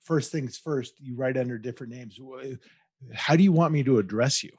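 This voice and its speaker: A man hosting a podcast interview, asking questions in a relaxed, halting way. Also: mid-range voice, breathy edge. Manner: relaxed, halting, with filler restarts. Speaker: podcast host. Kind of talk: casual interview chat.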